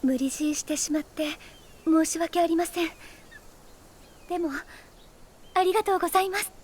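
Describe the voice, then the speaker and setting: A woman playing a gentle, refined young noblewoman in an anime game, with polite, ladylike phrasing. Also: very high voice, moderate pace, slight background noise. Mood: apologetic. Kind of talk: Japanese anime game voice acting. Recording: loud music behind the voice.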